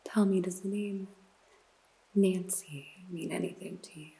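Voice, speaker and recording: An actress playing a sly woman detective in a noir ASMR roleplay, questioning a suspect in a slow, teasing, mostly whispered voice. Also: lowish voice, fairly even intonation, creaky, dark tone. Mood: teasing, probing.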